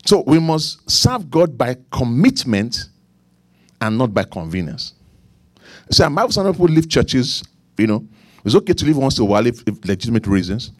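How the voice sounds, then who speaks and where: A middle-aged male pastor with a Nigerian accent delivers a sermon in a mid-pitched voice. He repeats his points for weight, climbs to emphatic peaks and falls back to low, confiding asides. The recording is dry and close, with no room echo. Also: sing-song, normal speed. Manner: preaching with repetition and asides, swinging from emphatic to quiet.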